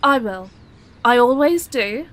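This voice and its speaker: An actress with a British accent playing a caring, frank middle-aged single daughter in an intimate audio drama. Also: female voice, on the high side, lively intonation, slow. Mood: reassuring.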